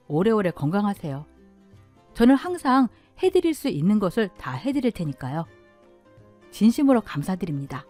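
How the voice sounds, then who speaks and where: Expressive woman story narrator. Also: medium pitch. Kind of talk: first-person story radio narration.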